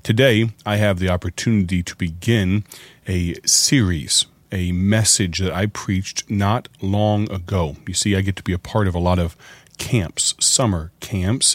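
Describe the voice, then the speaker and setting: Raspy deep-voiced radio evangelist man. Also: no room sound. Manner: earnest, grateful, conversational. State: hoarse from preaching at camp. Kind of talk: warm radio sermon introduction.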